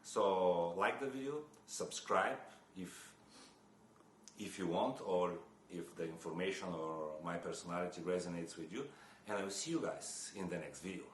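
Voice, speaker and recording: A man's voice: low-pitched, moderate pace. A young man from Bulgaria, talking casually to camera about photography, hesitant with many fillers and restarts. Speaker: photography vlogger. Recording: echoey room with faint noise.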